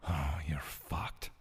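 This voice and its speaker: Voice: very deep voice, slightly rough, exaggerated voice. An actor voicing an ominous, wary spirit-like companion with a terse, foreboding manner in an audio drama. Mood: mocking.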